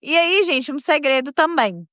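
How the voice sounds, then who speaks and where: A woman with a strong Mineiro accent tells a real-life story on her podcast, chatty and quick to laugh. Her voice sits a little high, and the recording is dry and close. She talks straight to her listeners as if they were friends. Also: lively intonation, fairly bright tone, no room sound. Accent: Mineiro (Minas Gerais). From Minas Gerais, Brazil. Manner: chatty, laughs often, addresses listeners directly. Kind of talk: casual storytelling narration.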